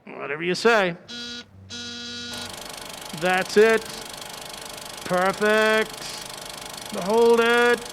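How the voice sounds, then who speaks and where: A man's voice: sits high, very slow, vocal fry, pauses often, noisy background, exaggerated voice. A man playing a fussy, deferential film director in radio drama, in a reverberant hall. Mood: deliberate, apologetic. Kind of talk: old-time radio drama acting. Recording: long hall reverb, faint music.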